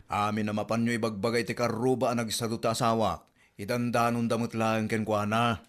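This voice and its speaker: A man's voice: on the low side, little pitch movement, brisk. Man, Ilocano accent, bewildered husband with curt questioning replies. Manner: puzzled, short questioning replies. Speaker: husband and son in a comedy drama.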